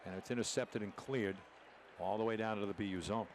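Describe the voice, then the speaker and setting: A man's voice: fairly low pitch, steady tone, brisk. A man calling live hockey play-by-play as a commentator, describing the action in short, clipped phrases. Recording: noisy arena broadcast, rink ambience behind.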